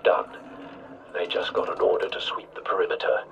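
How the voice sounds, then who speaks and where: A man's voice: normal speed, a bit bright, lo-fi sound, lightly acted. A man acting a serious, determined ally speaking over comms in a video game, clipped in manner.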